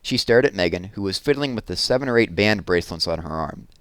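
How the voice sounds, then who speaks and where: A man reading a children's novel aloud in an expressive storytelling style. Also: low-pitched, fast talker, clear, resonant tone, no echo.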